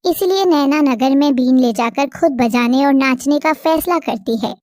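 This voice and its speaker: A woman narrating an animated story in a steady storytelling cadence. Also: sits high, normal speed, no echo, clean studio sound.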